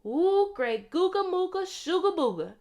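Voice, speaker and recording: A woman voicing a sweet, wise young nanny in a storybook, gentle, earnest and expressive. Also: very high voice, measured pace, pitched above the performer's own voice, full character voice. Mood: playful.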